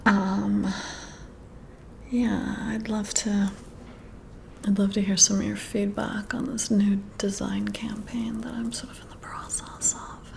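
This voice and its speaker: A woman whispering up close in an ASMR roleplay as a flirty, intrigued boss, breathy and intimate with drawn-out pauses. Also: mid-range voice, flat delivery, a little hiss or hum. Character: flirty, intrigued female boss giving a job interview. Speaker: ASMR performer role-playing a flirty new boss. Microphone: close-up ASMR microphone.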